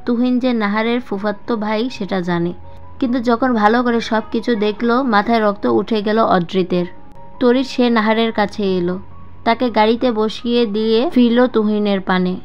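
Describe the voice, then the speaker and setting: A woman reading a scripted dramatic story as a narrator. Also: fairly high pitch. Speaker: storyteller, audiobook-style narrator. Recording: dull lo-fi voice-over with music. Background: background music.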